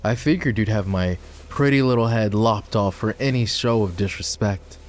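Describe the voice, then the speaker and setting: A man playing a proud, bitter lowborn knight and war hero in a dramatic fantasy roleplay audio, with a sardonic, scornful manner. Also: normal speed, close to an everyday voice. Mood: defiant, scornful. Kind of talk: fantasy roleplay ASMR voice acting.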